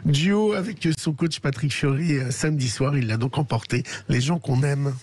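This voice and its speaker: A man's voice: mid-range voice, moderate pace, a little mellow, breathy edge. A man with a French accent from France, giving a conversational light news review as a radio columnist.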